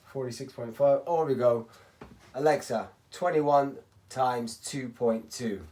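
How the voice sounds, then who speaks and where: A man from Spain giving a casual, rambling hands-on tutorial as a racquet stringer, often clearing his throat. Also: mid-range voice, slow pace, warm tone. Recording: clattering workshop tool noise.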